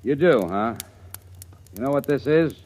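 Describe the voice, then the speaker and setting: A man's voice: mid-range voice, animated, unhurried, darkish tone, close to an everyday voice. A man playing a tough, impatient policeman in a 1950s radio drama, curt and commanding. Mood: threatening. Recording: noisy old radio recording.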